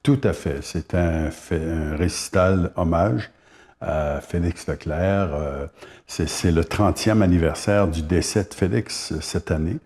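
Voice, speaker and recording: A man's voice: deep voice, a little slow, a little mellow. A man in his seventies from Quebec's Gaspé Peninsula with a Québécois French accent, a singer-songwriter chatting as a guest and reminiscing unhurriedly with many hesitations. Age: 70s. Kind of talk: casual interview chat.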